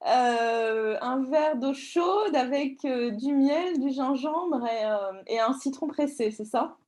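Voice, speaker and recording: A woman hosting an interview, friendly and quick to laugh. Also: high-pitched, darkish tone. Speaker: interviewer, host.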